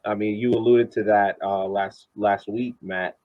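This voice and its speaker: A man co-hosting a soccer podcast, giving casual analysis in a halting way, repeating words as he builds his point, on a dull lo-fi microphone. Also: on the low side, steady tone.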